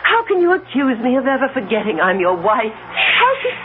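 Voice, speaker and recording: An actress playing a sea captain's anxious, protesting wife, placating and hesitant in dramatic radio mystery style. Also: female voice, on the high side, wildly swooping pitch, moderate pace. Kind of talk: 1970s radio mystery acting. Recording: noisy, tinny old radio broadcast recording.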